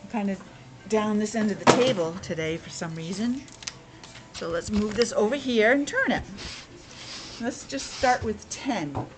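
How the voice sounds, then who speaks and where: A woman's voice: medium pitch, expressive, measured pace, pauses often, very noisy. A woman teaching young children in a playful, exclamatory way, asking questions and sounding out words. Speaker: preschool teacher. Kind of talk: interactive lesson for young children.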